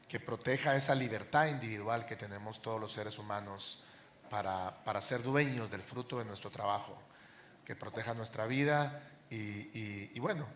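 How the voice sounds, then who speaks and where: A man moderating a live onstage interview, asking questions in a halting way as he searches for phrasing. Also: on the low side. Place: live event venue. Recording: echoey venue with faint background noise.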